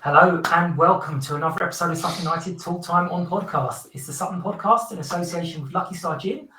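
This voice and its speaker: A man's voice: a little high, fast talker, pushed and bright, roomy sound, far from the mic, slight background noise, poor audio. A man hosting a casual podcast, chatty and friendly, laughing often between ums. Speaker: podcast host.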